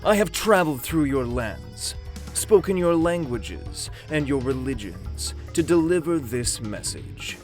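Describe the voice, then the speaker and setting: A man's voice: a little high, lively intonation, unhurried, soft background music. A man voicing a scheming ancient sorcerer emperor in a comic dub, formal, theatrical and silkily menacing. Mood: imperious challenge. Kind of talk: amateur comic dub voice acting.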